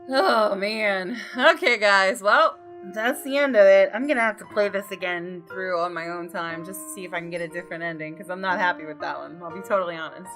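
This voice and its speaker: A woman's voice: medium pitch, brisk, few pauses, fairly bright tone. A young woman hosting a gaming channel, chatting informally to her viewers during a let's play. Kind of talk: casual let's play commentary with some read-aloud visual novel narration. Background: game music.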